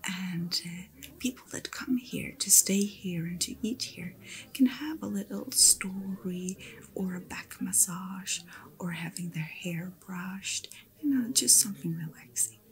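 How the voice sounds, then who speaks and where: A woman voicing a kind, attentive fantasy innkeeper in an ASMR roleplay, speaking in a soft, soothing whisper. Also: lowish voice, unhurried, low-quality recording. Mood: gentle, soothing.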